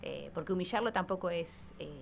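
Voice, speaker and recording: Low-voiced Argentine woman, noisy background. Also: fairly quick, gravelly. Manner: explanatory, often checks with tag questions. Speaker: psychologist guest columnist. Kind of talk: conversational expert talk on radio.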